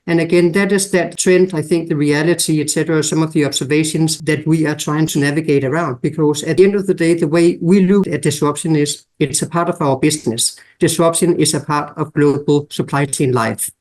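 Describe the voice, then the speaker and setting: Danish man, podcast guest, slightly high voice, measured and explanatory. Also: steady tone, a little mellow. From Denmark. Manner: measured, explanatory, lists examples. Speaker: guest expert. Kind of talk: podcast interview.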